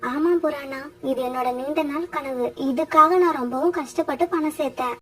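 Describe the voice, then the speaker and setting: A woman's voice: high voice, fairly even intonation, normal speed, fairly bright tone. A woman voicing a devoted, hardworking mother sparrow in a cartoon fairytale. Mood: proud. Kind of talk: animated cartoon fairytale dialogue.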